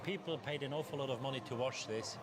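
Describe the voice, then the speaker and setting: Casting: male pundit, mid-pitched, discussing football amid clear outdoor noise. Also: quick pace. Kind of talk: live match discussion. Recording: clear stadium noise behind.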